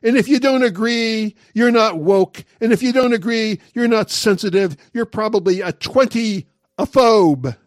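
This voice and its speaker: A man's voice: sits high, unhurried, dry recording, studio quality. A man of sixty-nine hosting a talk commentary monologue, making wry, sarcastic jokes. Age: 60s. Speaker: commentary host.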